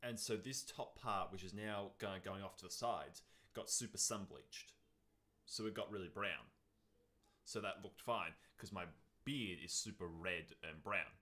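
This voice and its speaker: A man co-hosting a podcast, chatting casually in an animated, jokey way. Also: sits low, a little slow. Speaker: podcast co-host. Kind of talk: casual chat.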